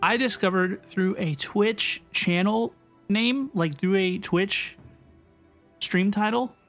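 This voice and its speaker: A high-pitched male livestreamer chats casually while reacting to a game. He is informal and tends to repeat himself, with faint game music behind him. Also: slow, a bit bright. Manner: chatty, informal, repeats phrases. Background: video game music.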